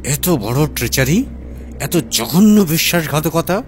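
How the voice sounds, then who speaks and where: A man's voice: fairly high pitch, animated, measured pace, slight background noise, pitched above the performer's own voice. A man voicing a stern, scheming businessman husband in an audiobook, with grave, emphatic, clipped phrases. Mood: bitter, accusing. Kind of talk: acted audiobook dialogue.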